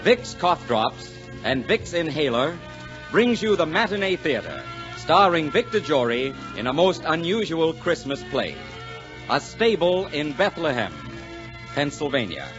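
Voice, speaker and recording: A man's voice: a little high, big pitch swings, measured pace. A man announcing a sponsored program in a 1940s radio advertising style. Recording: muffled 1940s radio broadcast recording. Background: music.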